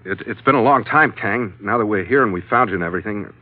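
A man's voice: on the low side, quick pace, natural voice. Hard-boiled sea captain, played by a man, muffled old radio. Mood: subdued. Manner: terse, hard-boiled narration. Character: weary, tough male sea captain narrating his log. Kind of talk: 1940s radio acting.

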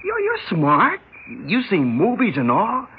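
A man's voice: high-pitched, wildly swooping pitch, measured pace, heavily acted. A man with an American accent acting a greedy, reckless young crook in a radio drama, slangy and urgent. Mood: agitated. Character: excitable, reckless young male small-time crook, greedy. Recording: tinny, noisy old radio broadcast.

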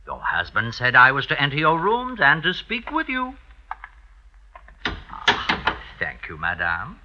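An oily, scheming servant in an old radio play. His delivery is very bright and forceful, swinging widely in pitch with theatrical flourishes and fawning hesitations. The vintage recording is dull. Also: huge pitch swings, slow, a little hiss or hum, full character voice. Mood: oily, mock sympathetic. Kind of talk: 1950s radio drama acting.

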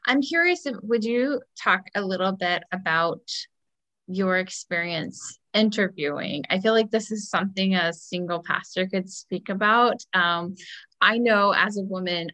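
A woman's voice: medium pitch, a little slow, pushed and bright, no echo. A woman hosting a conversational interview, asking questions and candidly sharing her own experience. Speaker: podcast host, interviewer.

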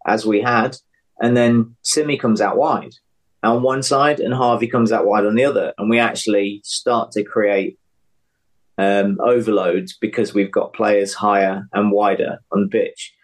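A man with a fairly low voice talks as a sports analyst on a podcast. His delivery is conversational, working through tactics and numbers in a casual way. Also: fairly even intonation, a little slow.

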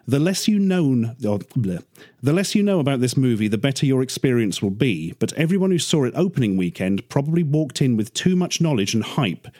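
A man's voice: medium pitch, sing-song, brisk, hardly any pauses, dark tone. A man talking casually as a panellist in a discussion of films and games. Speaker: podcast panellist. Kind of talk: casual film and game discussion.

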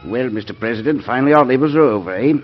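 An actor playing a brisk, formal diplomat in a classic radio drama. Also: male voice, mid-range voice, soft background music, lightly acted. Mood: businesslike. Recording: dull, band-limited old radio recording.

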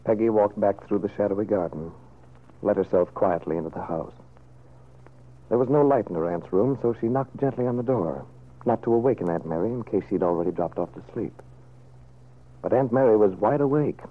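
A narrow, phone-like old radio recording carries a man with a lowish voice. He narrates a vintage serial, measured and dramatic, reading from a script.

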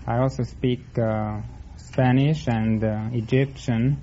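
A man's voice: mid-range voice, fairly even intonation, takes it slowly, dark tone. A man answering as a quiz contestant, hesitant and matter-of-fact, on a vintage radio recording. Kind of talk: unscripted answers to a host. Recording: muffled vintage radio, faint noise.

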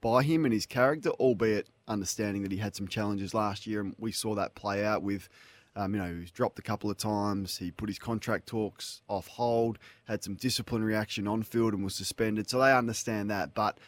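Aussie bloke hosting footy radio, brisk newsy delivery, dry studio mic. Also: male voice, fairly low pitch, steady tone, dry recording. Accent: Australian. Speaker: radio sports host. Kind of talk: sports radio talk.

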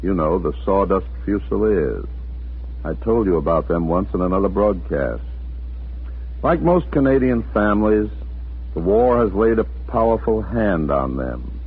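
A man's voice: deep voice, animated, measured pace, slightly rough, heavily acted. A man narrating a 1940s radio drama as a folksy storyteller, scripted and familiar. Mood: folksy, measured. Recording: muffled, narrow 1940s radio recording.

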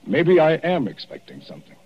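Taunting doctor, fairly high voice, very animated pitch, accusing, emphatic, muffled 1940s radio thriller recording. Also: male voice, brisk, husky, full character voice. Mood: terse, wary.

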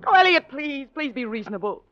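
High-voiced scheming radio-drama wife. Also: female voice, sing-song, a little fast, a bit bright. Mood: urgent pleading. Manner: smooth social charm turning to urgent pleading. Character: scheming, flirtatious wife desperate for an inheritance. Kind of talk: old-time radio drama acting. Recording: muffled vintage radio recording.

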